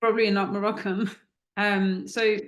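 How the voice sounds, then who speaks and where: A woman's voice: medium pitch, little pitch movement, moderate pace, reverberant. A woman hosting a podcast interview, asking questions with some hesitation and reading a closing outro.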